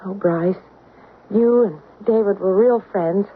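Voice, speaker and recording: A woman's voice: mid-range voice, slow, slight background noise, close to an everyday voice. A woman playing an earnest housewife in a radio drama. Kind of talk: 1970s radio drama acting. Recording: tinny old radio broadcast.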